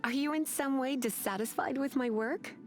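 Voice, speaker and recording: A woman's voice: high-pitched, normal speed, close to an everyday voice. A woman voicing a teasing, sultry, authoritative witch librarian in a video game. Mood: composed, coy. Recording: music behind the voice.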